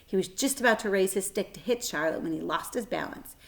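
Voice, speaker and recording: A woman reading a children's book aloud as a storyteller, expressive, with dramatic emphasis and shifting volume. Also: mid-range voice, brisk, creaky.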